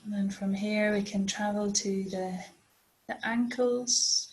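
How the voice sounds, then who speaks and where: A woman leading a guided body scan meditation as a teacher, speaking gently and unhurriedly with long pauses. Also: medium pitch, fairly even intonation, rough recording.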